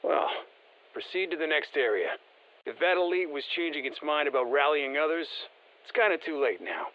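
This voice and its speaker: An actor playing an easygoing special forces operator in a video game, giving colloquial, wry mission instructions over a radio. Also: male voice, on the high side, lively intonation, moderate pace, dull audio. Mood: wry, focused. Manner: colloquial, wry, plain-spoken.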